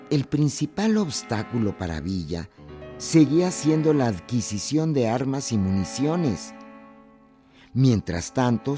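A man with a Mexican accent giving scripted historical narration as a radio narrator. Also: medium pitch. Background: music.

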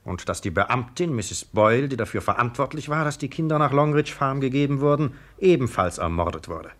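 A stern police sergeant in an old radio mystery. His voice is mid-pitched, brisk and official, questioning methodically and probingly. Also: fairly bright tone. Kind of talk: 1950s radio play acting.

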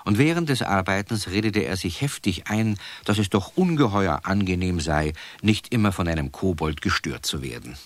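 A man's voice: low-pitched, dry recording. A man narrating a children's story, conversational and addressing listeners directly.

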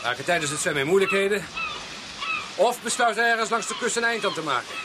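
A man's voice: sits high, expressive, quick pace. An actor playing a chatty, bluff, seasoned policeman in a radio drama, casual and dryly joking. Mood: dryly matter-of-fact. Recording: clear background noise, outdoor vehicle ambience.

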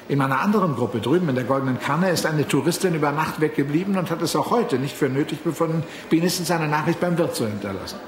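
An actor playing a weary tour guide near retirement in a radio drama, grumbling and confiding. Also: male voice, on the high side, lightly acted. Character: weary male tour guide nearing his pension. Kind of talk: German radio drama acting. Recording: roomy, music behind.

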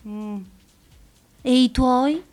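A woman's voice: sits high, extremely slow, darkish tone. An actress playing Gina, a forgetful elderly woman in her seventies from Rome, who is meek, fussy and plaintive, protesting defensively in a radio play. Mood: chatty. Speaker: actor.

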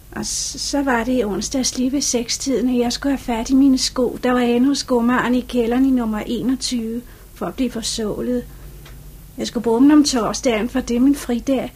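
A woman's voice: on the high side, darkish tone, husky. An actress playing an earnest young bakery shopgirl as a witness in a 1950s radio drama, speaking politely and hesitantly.

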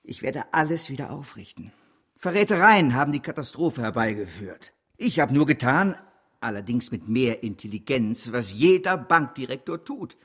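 An actor playing a proud, driven speculator and banker in a radio play, husky, theatrical and emphatic. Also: male voice, mid-range voice, animated, moderate pace, fairly bright tone. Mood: defiant, persuasive. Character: proud, driven stock-exchange speculator and banker, defiant in ruin.

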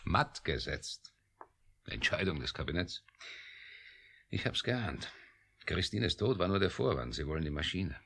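Radio-drama project chief; creaky voice, animated pitch, insistent, authoritative yet tired. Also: male voice, a little slow, pauses often, a little hiss or hum, exaggerated voice. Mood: resigned. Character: weary authoritative male project director, insistent. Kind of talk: 1980 German radio drama acting.

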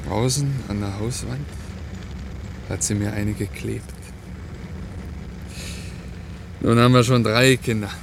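An actor playing a talkative, teasing truck driver with a folksy manner in a radio play. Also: male voice, lowish voice, fairly even intonation, moderate pace. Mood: reminiscing. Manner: folksy, talkative, tags sentences with questions. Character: chatty, teasing truck driver and family man. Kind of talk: German radio play acting. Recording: clear vehicle and outdoor noise behind.

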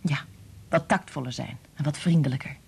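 An actress playing a kind, patient woman scientist and protective mother in a Dutch radio play, explaining things in a measured way. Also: low voice, creaky, dark tone, husky, full character voice. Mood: firm, protective.

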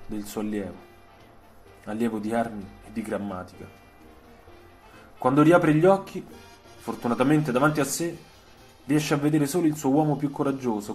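A man reading a scripted literary story for radio, in a measured, dramatic storytelling way. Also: medium pitch, darkish tone.

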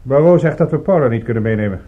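Man as a fast-talking, stubborn henchman. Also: mid-range voice, animated, natural voice. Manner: blunt, insistent. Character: stubborn male henchman, loyal to his woman. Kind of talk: Dutch radio drama acting. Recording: tinny, narrow-band radio play recording.

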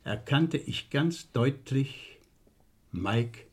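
An actor playing a shrewd, dryly needling police inspector in a vintage radio crime play, deliberate and falsely casual. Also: male voice, mid-range voice, lively intonation, slightly rough, heavily acted. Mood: measured, grave.

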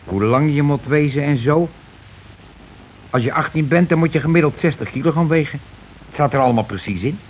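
A man's voice: fairly high pitch, brisk, pauses often, close to an everyday voice. A blustering working-class husband , played by a male actor in an old radio play. He lays down the law in colloquial speech and pushes for agreement. The recording is muffled, with some noise behind the voice. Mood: impatient, bossy. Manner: argumentative, colloquial, keeps demanding agreement. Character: bossy, blustering working-class husband and landlord, war veteran.

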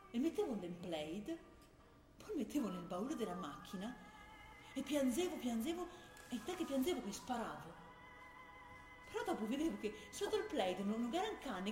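A woman's voice: fairly high pitch, darkish tone, echoey room. An actress playing an earnest, troubled young woman militant, confiding in a dialect-tinged way. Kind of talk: radio broadcast of a stage play.

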